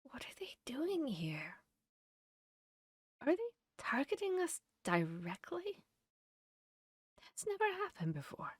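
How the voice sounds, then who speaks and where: A woman's voice: sits high, huge pitch swings, takes it slowly, pauses often, slightly rough, no room sound, studio quality, exaggerated voice. A woman playing a protective, caring vampire hunter in an intimate fantasy audio roleplay, speaking directly to the listener. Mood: hushed, wary. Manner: intimate, addressing the listener directly, shifting between hushed and urgent.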